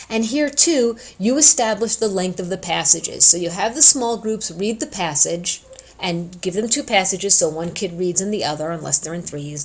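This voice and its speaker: A woman giving an informal instructional talk as a teacher, conversational and emphatic, stressing key words deliberately. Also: on the low side, vocal fry. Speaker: education instructor addressing student teachers.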